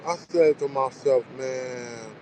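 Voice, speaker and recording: A man's voice: medium pitch, takes it slowly, a little mellow, slight background noise. A man in his forties talking casually in a loose, reflective monologue, often saying "you know what I'm saying". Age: 40s. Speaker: vlogger.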